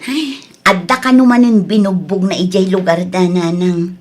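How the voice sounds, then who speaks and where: An actress playing an anxious, long-suffering wife in an Ilocano radio drama, with an Ilocano accent and a plaintive manner. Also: female voice, lowish voice, fairly even intonation, normal speed, darkish tone, close to an everyday voice. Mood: worried. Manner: plaintive, scolding, wailing when distressed.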